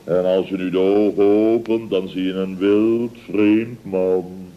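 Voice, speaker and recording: An actor playing a pompous, self-satisfied middle-aged contractor boss in radio comedy, blustering and repeating himself with rhetorical questions. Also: male voice, deep voice, fairly even intonation, takes it slowly. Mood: insistent. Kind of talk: 1970s Dutch radio comedy acting. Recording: dull old radio recording.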